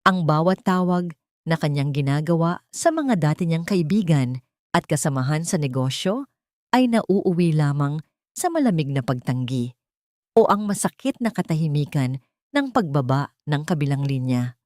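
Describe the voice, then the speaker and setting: A woman narrating a dramatic love story in a measured, storytelling manner. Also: deep voice, expressive, darkish tone, no echo, clean studio sound.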